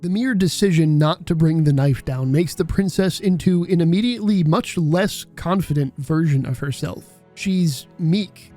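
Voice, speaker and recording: A man's voice: on the high side, normal speed, few pauses, mellow, soft background music. A man reading scripted video essay narration in a wry, casual way.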